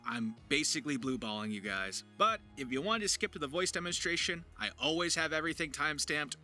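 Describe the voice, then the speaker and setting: A man's voice: fairly high pitch, pushed and bright. A man narrating a video to his viewers as a voice actor and writer, in a chatty, emphatic, informal way.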